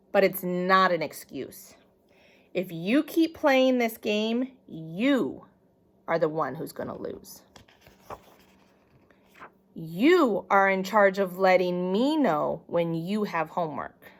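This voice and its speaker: A woman reading aloud, voicing a mother who patiently and firmly lectures her child. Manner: low, slow, patient lecturing.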